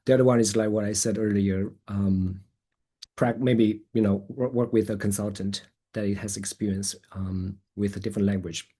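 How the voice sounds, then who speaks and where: Man, webinar speaker, low-pitched, mellow tone, halting phrasing. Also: steady tone, husky. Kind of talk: presentation and question answering.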